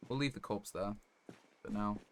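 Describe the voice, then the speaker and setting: A man streaming a game live, giving casual commentary in a halting, rambling way as he thinks aloud. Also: on the low side. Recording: noticeable background noise.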